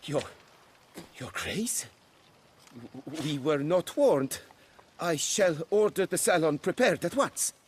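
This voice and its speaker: An actor playing a haughty but obsequious winemaker in a video game, with florid, deferential and easily flustered speech. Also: male voice, fairly high pitch, lively intonation, takes it slowly, pauses often, a little hiss or hum. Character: fussy, haughty male winemaker, obsequious to nobility.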